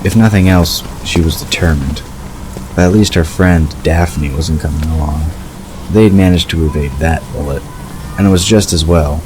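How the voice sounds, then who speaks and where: A man narrating a scripted story in a measured storytelling delivery. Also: a bass voice, music in the background, poor audio. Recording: clear background ambience noise.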